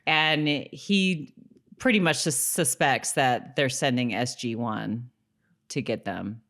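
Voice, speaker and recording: A woman's voice: very deep voice, slow, fairly bright tone. A woman co-hosting a podcast, talking informally as she casually recaps a television episode. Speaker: podcast co-host.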